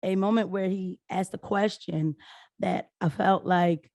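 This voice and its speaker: American woman, 30s, hoarse, informal political vlogger, rambling casual delivery, very dry close sound. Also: medium pitch, fairly even intonation, unhurried, darkish tone, dry recording. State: hoarse after being sick. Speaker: political video commentator. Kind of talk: casual talk to viewers.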